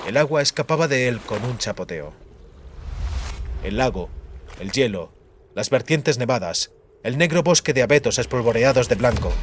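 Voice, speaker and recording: A man reading a fantasy audiobook in a measured, dramatic storytelling manner. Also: mid-range voice, slight background noise. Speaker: audiobook narrator. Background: background music.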